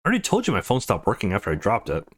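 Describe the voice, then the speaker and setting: A man's voice: lowish voice, huge pitch swings, very fast, breathy edge, exaggerated voice. A man voicing a laid-back but defiant teenage schoolboy with a casual, slangy manner in a visual novel. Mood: puzzled.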